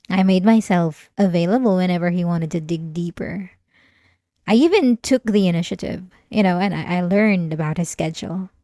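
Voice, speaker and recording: A woman playing an obsessive, manipulative therapy patient in an ASMR roleplay, speaking softly and politely with an unsettling, menacing calm. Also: fairly low pitch, no room sound, clean studio sound, lightly acted. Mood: earnest, devoted.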